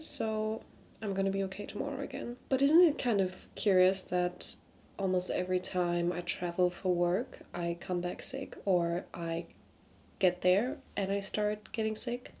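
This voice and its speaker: A young woman with a congested voice, talking candidly to camera from a small hotel room, sometimes dropping to a soft near-whisper. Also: medium pitch. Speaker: vlogger, consultant. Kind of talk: casual talk to camera.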